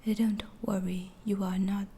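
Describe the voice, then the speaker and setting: A woman plays a gentle alien abductor soothing a human captive in an ASMR roleplay. The voice is a hushed, breathy whisper, mellow and very unhurried, with halting phrases and a faint hiss behind it. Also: medium pitch, level tone, close to an everyday voice. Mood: calm, reassuring. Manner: slow, halting, reassuring.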